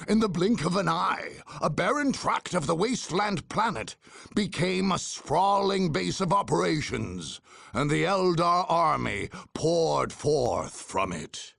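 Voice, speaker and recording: An actor playing a grave, grandiose male war chronicler, narrating a game story in a theatrical, deliberate way. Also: a little high, very animated, vocal fry, a bit bright, no echo, studio quality, exaggerated voice. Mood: solemn, portentous. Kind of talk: dramatic scripted game narration.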